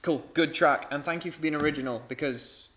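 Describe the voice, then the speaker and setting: A man's voice: on the high side, a little fast, noisy background. A young man hosting a music review, talking casually with many fillers and frank opinions. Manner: rambling, filler-heavy, frank.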